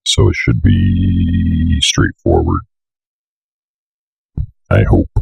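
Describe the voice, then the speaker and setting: A young man with an extremely low, husky voice crackling with fry walks through software steps. He has a slight cold and talks casually, muttering asides as he goes. The recording is close and dry, at a desk. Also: sing-song, very slow, no echo, highs cut off. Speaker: software tutorial presenter. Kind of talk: casual screen-recorded walkthrough. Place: indoor room at a computer desk.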